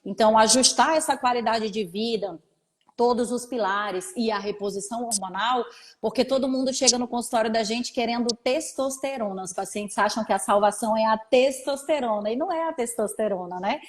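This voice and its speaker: A woman's voice: a little high, fairly quick. A woman in her forties from São Paulo state, a gynecologist explaining her work in a live interview, often adding "né?" to check in. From São Paulo state, Brazil. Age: 40s. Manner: explanatory, frequent "né?" tag questions. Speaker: gynecologist guest. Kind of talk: informative live interview talk.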